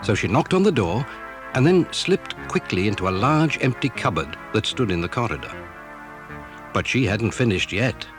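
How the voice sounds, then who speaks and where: A man's voice: fairly low pitch, sing-song, moderate pace. A man narrating a children's story as dramatic scripted storytelling. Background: music.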